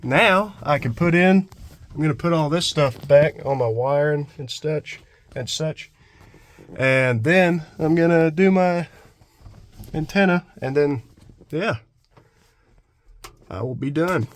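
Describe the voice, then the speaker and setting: A man's voice: fairly high pitch, takes it slowly, pauses often. A man giving a casual, unscripted hands-on DIY walkthrough, thinking aloud as he goes. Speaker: DIY vlogger. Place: in and around a pickup truck.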